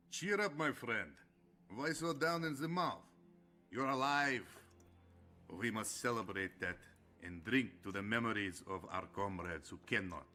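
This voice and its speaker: An actor playing a hearty, hard-drinking, war-hardened Soviet army sergeant in a dramatic video game cutscene. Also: male voice, low-pitched, moderate pace, bright, forceful tone, faint music behind. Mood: hearty, jovial. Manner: hearty, larger than life.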